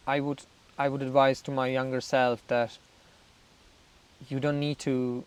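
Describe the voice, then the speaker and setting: Man, Czech accent, smooth clear voice, candid reflective vlogger, slightly nervous, small grammar slips. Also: mid-range voice. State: somewhat nervous on camera. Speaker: channel host. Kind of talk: candid talk to camera about recovery.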